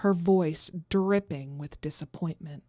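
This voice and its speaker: Slow, lowish woman narrator. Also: warm tone, no echo, studio quality. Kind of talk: scripted narration of a horror fan story.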